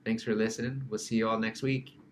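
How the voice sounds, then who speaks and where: A man in his forties hosting a casual podcast, his voice sitting a little low. He jokes and teases his guests. A faint hiss runs underneath. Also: little pitch movement, fairly quick. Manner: joking, teasing banter. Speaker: podcast host.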